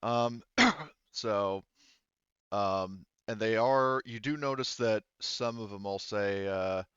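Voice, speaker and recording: A man with a low, smooth voice narrates as game master of a tabletop roleplaying session. He feels his way through descriptions, pausing and rewording mid-sentence. The sound is dry and slightly muffled. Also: fairly bright tone, dry recording. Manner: halting, thinks aloud, often rephrases mid-sentence.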